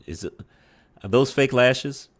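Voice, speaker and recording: A man commenting on a dating show clip in a judgmental tone, halting with frequent fillers and restarts, often tagging his points with "right?". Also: medium pitch, animated. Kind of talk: reaction commentary.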